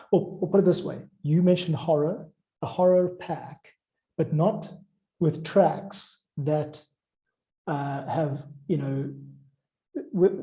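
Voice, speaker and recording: Guy just chatting and explaining stuff, really mellow relaxed voice, kind of discursive. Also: a little high, rough recording. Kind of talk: informal chat about craft.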